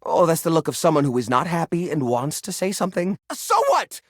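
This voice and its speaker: A man's voice: fairly high pitch, lively intonation, fairly quick, no echo, studio quality. A man voicing a neurotic, sentimental hoarder of a junk drawer in a video game, fussy and theatrical in manner. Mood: defiant. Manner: fussy, theatrical, swinging into outbursts. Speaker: voice actor in a dating sim game. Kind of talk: animated video game character acting.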